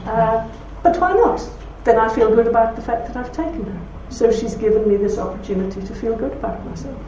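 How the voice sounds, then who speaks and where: A woman with a broad Belfast accent speaking to an audience, telling anecdotes with warmth and laughter. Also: on the high side, a little fast, a little hiss or hum. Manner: warm anecdotal storytelling with laughs. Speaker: speaker at a talk. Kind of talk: talk with audience questions. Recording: roomy hall from far off, dull.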